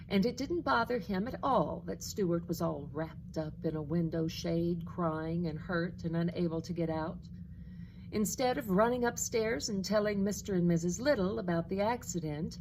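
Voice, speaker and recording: A woman teacher reading a children's storybook aloud in a deliberate, expressive storytelling way. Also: lowish voice, creaky, background noise. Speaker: second grade teacher.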